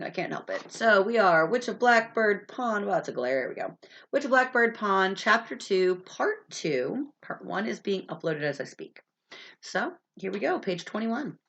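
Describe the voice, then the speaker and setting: A woman's voice: mid-range voice, a bit bright. A woman with a stuffy, congested voice, reading a story aloud in a storytelling style. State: sinus congestion from allergies. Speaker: reader of an audiobook chapter. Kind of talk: read-aloud storytelling with chatty intro. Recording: dull, lo-fi sound.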